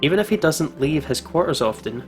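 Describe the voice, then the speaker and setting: A man's voice: on the high side, big pitch swings, brisk. A man in a casual let's-play, chatting and reading aloud with informal banter and exclamations. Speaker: gaming channel co-host. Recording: music playing behind the voice. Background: game music.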